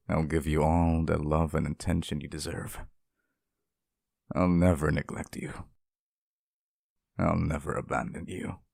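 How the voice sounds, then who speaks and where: Demon lover for ASMR roleplay, played by a man: very low, gravelly, unhurried, tender and possessive. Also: darkish tone, no echo, studio quality. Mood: devoted, reassuring. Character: devoted, possessive male demon sealed in a cage, sweet but menacing.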